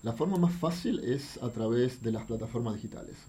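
A middle-aged man with a Uruguayan accent giving explanatory interview answers as a guest, halting and thoughtful, repeating words as he searches for the next one. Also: mid-range voice, expressive, warm tone. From Uruguay, raised abroad in France, Venezuela and Argentina. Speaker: interview guest, visual artist. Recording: faint background noise, drifting off mic.

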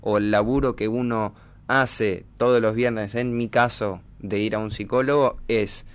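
A young man with a Uruguayan accent speaks in a smooth, somewhat low voice. He is a racing driver being interviewed, and he talks casually but haltingly, doubling back on words as he thinks. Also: fairly even intonation. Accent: Uruguayan Spanish. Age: 20s.

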